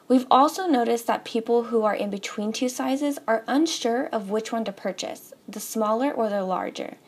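A woman's voice: on the high side, darkish tone. A young woman presenting a store's product guide, in an upbeat, scripted manner that addresses the viewers directly. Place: studio with a table, voice-over for most of the video.

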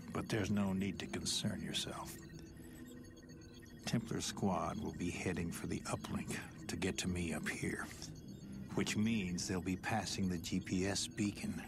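Gravelly low-voiced smug male general. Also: steady tone, a little slow. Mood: smug, dismissive. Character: smug traitorous male military general, coolly self-assured. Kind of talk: video game cutscene acting. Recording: loud music underneath.

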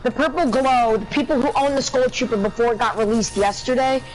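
A woman's voice: fairly high pitch, brisk, a little hiss or hum, low-quality recording. A woman gamer talking in casual voice chat during a match, teasing and sarcastic with her teammates. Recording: game music loud behind voice.

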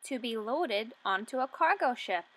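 A woman in her twenties reading a picture book aloud for young children, asking questions and enunciating deliberately. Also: a little high, lively intonation, a bit bright. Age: 20s.

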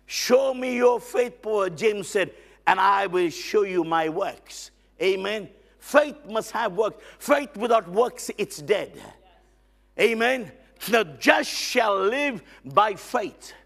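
A middle-aged man preaching a lively sermon as a guest on a church stage, loud and excitable, with frequent amens and hallelujahs. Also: high-pitched, gravelly. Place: church stage with band instruments.

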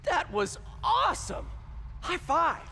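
A man's voice: extremely high pitch, huge pitch swings, slow pace, background noise, heavily acted. A young man with an American accent playing a wisecracking, eager, nervy superhero in a video game. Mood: excited. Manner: quippy, boyish, joking.

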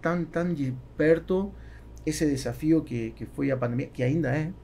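A man's voice: on the high side, normal speed, gravelly, dark tone, slight background noise. A middle-aged man, a native Spanish speaker with a Spanish accent in Portuguese, answering interview questions as a podcast guest and often searching for words. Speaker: podcast guest, business executive. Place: small foam-treated podcast studio. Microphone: close boom-arm studio microphone.

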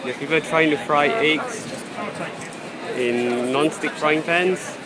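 A man giving halting, unscripted commentary as he films outdoors on a handheld device in a busy city street. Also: fairly high pitch. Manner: halting, searching for words. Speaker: amateur video maker filming a street scene. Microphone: handheld phone or camera, held by the speaker. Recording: noisy busy city street, traffic and crowd.

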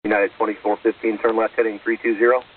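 Flat, fast male air traffic controller on radio. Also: on the low side. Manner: procedural, clipped phrasing. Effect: aviation radio. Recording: muffled, phone-like air traffic radio, distant.

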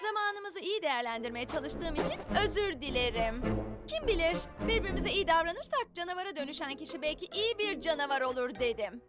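Very high-voiced bossy cartoon girl. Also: sing-song, brisk, over loud music. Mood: wounded. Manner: earnest, organising, articulate. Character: bossy, well-meaning little superhero girl, the sensible leader. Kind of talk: cartoon dub acting.

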